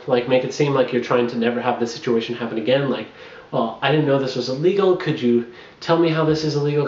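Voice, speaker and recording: A man's voice: mid-range voice, darkish tone. A young man in his twenties giving casual advice straight to camera in a small bedroom, rambling with lots of fillers and restarts, recorded on the camera. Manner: rambling, frequent fillers and restarts, laughs between points. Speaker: travel blogger. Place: small bedroom with bare wall. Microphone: camera on a makeshift tripod. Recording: roomy, dull lo-fi camera audio.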